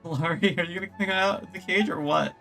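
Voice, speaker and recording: A bright, mid-pitched game streamer talks over light music. The delivery is reactive live commentary, often muttered to themself, with on-screen dialogue read aloud. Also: fairly quick, gravelly.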